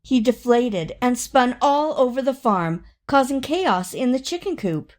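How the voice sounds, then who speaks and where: Slow, higher-voiced woman reading a children's story. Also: expressive. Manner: dramatic, with drawn-out emphasis and acted sound words. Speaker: storybook reader. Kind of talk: expressive children's read-aloud narration.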